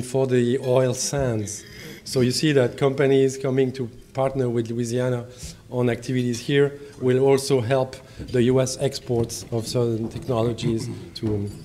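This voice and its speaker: A man with a French accent introduces panelists in measured, formal English. He stops often on "uh" and restarts his phrases, his voice sitting in a middle pitch. A faint hiss of background noise runs under the recording. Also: a little mellow. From France. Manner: halting, many fillers and restarts. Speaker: panel moderator and diplomat.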